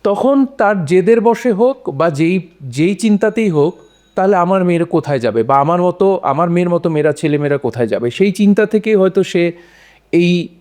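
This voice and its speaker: A man's voice: high voice, animated, brisk, darkish tone. A man talking as a panelist in a conversational discussion, repeating and correcting his phrases.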